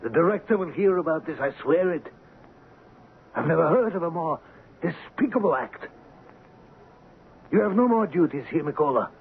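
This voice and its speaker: An actor in a radio drama playing an indignant, excitable colonial company man, with theatrical, formal, exclamatory delivery. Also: fairly high pitch, moderate pace, long pauses, phone-quality sound. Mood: appalled, outraged. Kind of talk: old-time radio drama acting. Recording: thin, noisy vintage radio broadcast.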